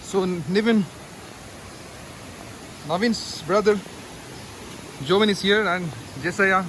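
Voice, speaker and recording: A man, chatting excitedly as he hosts a trekking vlog outdoors. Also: sits high, slow pace, a little hiss or hum. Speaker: vlogger host. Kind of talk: casual trekking vlog chat. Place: outdoors on a forest trail.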